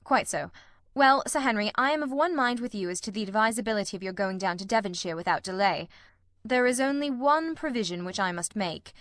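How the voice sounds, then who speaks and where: A woman voicing a self-assured, authoritative male gentleman detective in an audiobook story. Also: mid-range voice, a little fast, dry recording, studio quality, close to an everyday voice. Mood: decisive.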